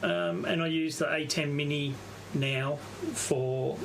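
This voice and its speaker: A man, an amateur radio operator giving a casual, halting, unscripted walkthrough on a live stream. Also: on the high side, steady tone, creaky, noisy background. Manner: halting, unscripted, thinking aloud. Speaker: amateur radio operator presenting his station.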